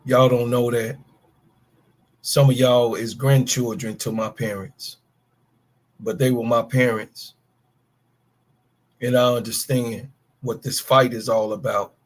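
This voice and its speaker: A man's voice: medium pitch, flat delivery, slow pace, long pauses. A man in his fifties, co-hosting a sports talk show, ranting with emphatic, profane call-outs. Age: 50s. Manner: profane, emphatic, calls out players by name. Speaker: podcast co-host. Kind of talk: heated sports talk rant.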